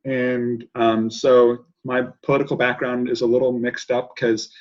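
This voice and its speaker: A man's voice: mid-range voice, little pitch movement, a little slow, highs cut off. A man in his twenties from South Africa, talking casually about himself as a podcast guest on a video call. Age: 20s. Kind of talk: casual personal talk. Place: indoor room at home, video call.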